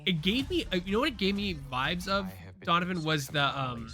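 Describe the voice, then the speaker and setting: A man co-hosting a pop culture show, chatting casually in a rambling, animated way with lots of fillers and restarts. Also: high voice, fairly quick. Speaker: co-host.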